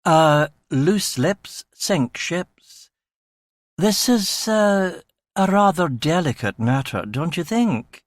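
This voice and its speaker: Man acting young male chief inspector, Edinburgh Scottish accent, anxious, soft, prissy, higher-pitched, audiobook character. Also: animated, takes it slowly, no room sound. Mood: anxious, hushed. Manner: anxious, flustered, hesitant.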